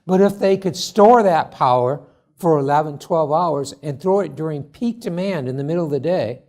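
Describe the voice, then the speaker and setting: A man presenting his startup at a conference forum, answering judges' questions in a halting, earnest way full of restarts. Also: fairly high pitch, a little mellow. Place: conference hall.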